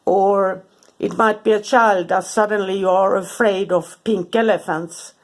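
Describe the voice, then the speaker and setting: A woman speaks casually and hesitantly, with frequent ums and restarted sentences. She has a cold and sounds stuffy and sniffly. Her pitch sits in an ordinary middle range. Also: steady tone, unhurried. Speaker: spiritual content creator. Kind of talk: casual informal talk to camera.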